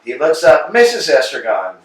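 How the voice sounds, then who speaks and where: A man's voice: a little high, huge pitch swings, a little slow. A man reading stage directions as the narrator of a staged play reading. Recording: very roomy echo, distant mic.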